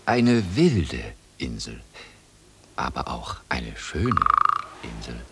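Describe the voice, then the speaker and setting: A man's voice: a bass voice, very animated, drawn-out words, a bit bright, breathy edge, soft background music, full character voice. A man playing a genteel, hesitant, easily bewildered ship's captain in a German radio play. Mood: soft, hesitant.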